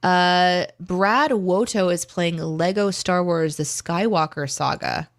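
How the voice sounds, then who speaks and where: A woman's voice: fairly low pitch, takes it slowly. A woman co-hosting a live show, chatting casually in an encouraging, chatty way. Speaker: co-host of a live stream and podcast. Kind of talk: casual livestream chat.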